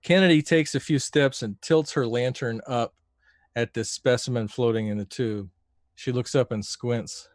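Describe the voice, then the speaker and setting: A man narrating a tabletop role-playing game as game master, slowly describing a scene in detail. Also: mid-range voice, dry recording, clean studio sound.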